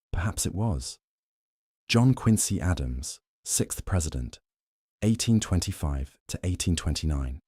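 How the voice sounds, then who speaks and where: A man reading a scripted history narration in a slow, measured, soothing way meant for falling asleep. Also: low-pitched, warm tone, dry recording, clean studio sound. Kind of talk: calm scripted bedtime narration.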